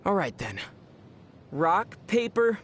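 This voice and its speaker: An actor playing a gentle, teasing young male adventurer in a video game, speaking in a measured, wry way. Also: sits high, big pitch swings, very noisy, heavily acted. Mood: playful. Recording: music behind the voice.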